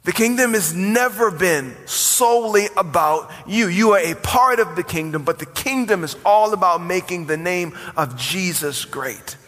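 A man's voice: high voice, animated, measured pace, few pauses, fairly bright tone. A man in his forties, a pastor preaching a sermon with rising emphasis and call-and-response. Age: 40s. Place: church sanctuary. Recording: long hall reverb.

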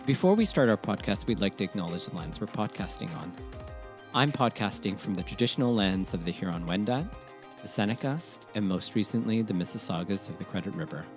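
A man's voice: lowish voice, lively intonation, a little fast, over music. A man born in the Philippines, co-hosting a podcast and asking thoughtful follow-up questions from a remote recording. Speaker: podcast co-host. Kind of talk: conversational podcast interview.

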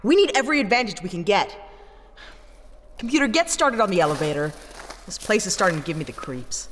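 A woman's voice: medium pitch, expressive, a little fast, natural voice. A woman playing a tough, impatient, teasing adventurer with a blunt, mocking manner in a sci-fi audio drama. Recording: long reverb, clearly audible background noise.

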